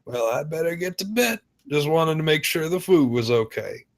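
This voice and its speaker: A man's voice: on the high side, animated, normal speed. An actor playing a giddy, affectionate, playfully flirtatious father in a film script table read. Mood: warm, affectionate. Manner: playful, teasing.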